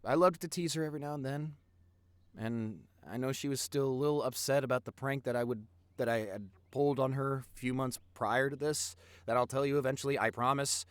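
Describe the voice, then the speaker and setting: A man's voice: mid-range voice, clear, resonant tone, dry recording, lightly acted. Man acting a tender, earnest young boyfriend, close and dry. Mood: fond. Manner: earnest, halting, with repeated words and pauses. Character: popular young boyfriend, tender, protective, sincere, rambling storyteller. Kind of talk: intimate audio roleplay monologue.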